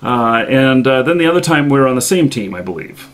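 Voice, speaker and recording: Male live-stream host, mid-range voice, informal, a bit halting, thinks out loud. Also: mid-range voice, expressive. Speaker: host of a live gaming show. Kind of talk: casual chat on a live stream.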